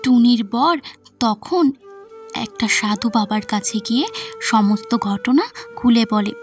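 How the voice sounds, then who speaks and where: A woman with a fairly high voice narrates a scripted cartoon story for children. Soft music plays behind her. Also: slow pace. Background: background music.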